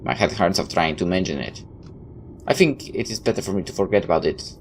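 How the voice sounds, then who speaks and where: A man's voice: sits low, lively intonation, fairly quick. A man reading a visual novel aloud like a dramatic storyteller, tossing in his own asides. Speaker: let's player. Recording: clear background noise.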